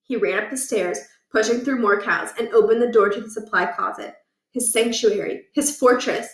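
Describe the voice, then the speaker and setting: A woman reading a children's book aloud in an animated storytelling style. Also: fairly high pitch, moderate pace, reverberant, far from the mic, poor audio.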